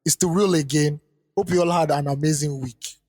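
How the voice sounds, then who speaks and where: Husky man in a reverberant room. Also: a little high, fairly even intonation, fairly quick, darkish tone. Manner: easygoing, trails off with fillers. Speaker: podcast co-host. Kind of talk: casual chat, reading a listener letter aloud.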